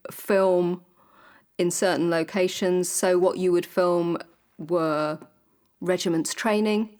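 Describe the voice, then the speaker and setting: Lower-pitched woman explaining in interview. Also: fairly even intonation, slow, a little mellow. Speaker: interviewed commentator on newsreel history.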